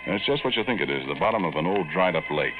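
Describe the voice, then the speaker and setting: A man's voice: sits low, lively intonation, quick pace, music in the background. An actor playing a folksy, wisecracking Air Corps veteran telling his tale in slangy American speech for radio drama. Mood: casual, folksy. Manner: colloquial, slangy, confiding. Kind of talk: old-time radio first-person storytelling. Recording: tinny, band-limited vintage radio recording.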